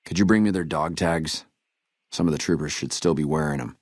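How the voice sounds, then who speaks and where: An actor playing a weary, dutiful army trooper in a video game, speaking in a measured, earnest soldierly way. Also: male voice, a bass voice, darkish tone, slightly rough, no room sound, studio quality. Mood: grave, businesslike. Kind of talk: video game dialogue acting.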